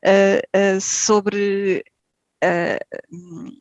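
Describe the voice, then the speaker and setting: A woman's voice: medium pitch, flat delivery, drawn-out words, no room sound, muffled recording. A woman from Portugal with a European Portuguese accent, a podcast guest talking casually and hesitantly, with many fillers and restarts. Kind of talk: casual interview.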